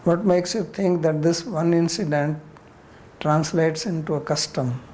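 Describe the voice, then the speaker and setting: A man's voice: on the high side, unhurried, a little mellow, slight background noise. A man with an Indian accent leading an informal class discussion as a spiritual teacher, answering questions bluntly and challengingly with rhetorical questions.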